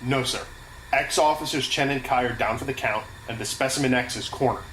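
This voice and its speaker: A woman's voice: extremely low pitch, fairly quick, fairly bright tone. A woman playing an earnest, stubborn security officer in an audio drama, firm and official in delivery. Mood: businesslike. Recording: clearly noisy ambience behind voice.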